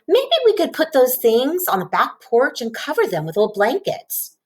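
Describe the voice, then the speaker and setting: A woman's voice: high-pitched, big pitch swings, moderate pace, voice pushed up. A woman voicing an eager, earnest young girl in a children's storybook. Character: eager young girl longing for her own room.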